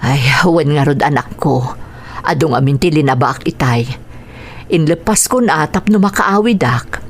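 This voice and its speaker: Casting: weary, loving mother, radio drama. Low register, gentle, apologetic. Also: expressive, measured pace, slight background noise. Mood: weary, patient. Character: patient, sorrowful working mother comforting her child.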